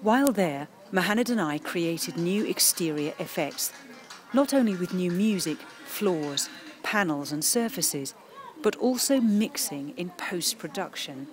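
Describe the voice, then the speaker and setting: A woman with a British accent narrating a documentary about radio drama training in a measured, informative way. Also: fairly low pitch, animated, soft background music.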